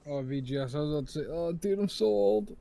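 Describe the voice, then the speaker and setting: A man's voice: on the high side, expressive, fast, creaky, mellow. A man co-hosting a casual nerdy talk show, joking and laughing as he reads fanfiction aloud. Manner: joking, laughs often, slips into a put-on tough-guy voice.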